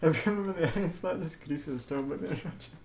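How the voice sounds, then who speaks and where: A man's voice: fairly high pitch, racing, a little mellow, a little hiss or hum. A man live streaming in a casual way, chatting and reading a game aloud with a hesitant, stammering delivery that often repeats words.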